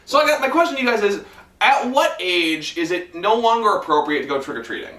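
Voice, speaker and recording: A man's voice: high voice, expressive, quick pace, sharp tone, roomy sound, distant mic. A man hosting a casual podcast, teasing his guests with crude jokes. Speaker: podcast host. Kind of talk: casual chat.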